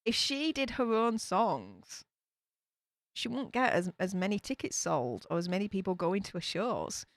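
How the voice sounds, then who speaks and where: Chatty Yorkshire woman streamer, lively pitch. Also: unhurried, no echo, studio quality. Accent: Yorkshire English. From Yorkshire, England. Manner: chatty, laughs often, reads comments aloud. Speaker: YouTube streamer reading live chat. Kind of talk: casual live-stream chat.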